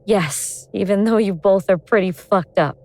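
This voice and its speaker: A woman playing an awakened ancient goddess of vengeance in human form, speaking in a wry, deliberate, sardonic way in an audio drama. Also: medium pitch, warm tone, close to an everyday voice. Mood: tender. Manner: sardonic, world-weary, deliberate explaining.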